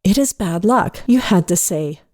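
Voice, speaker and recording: A woman's voice: lowish voice, lively intonation, unhurried, darkish tone, no room sound, clean studio sound. A woman presenting a podcast segment as scripted storytelling.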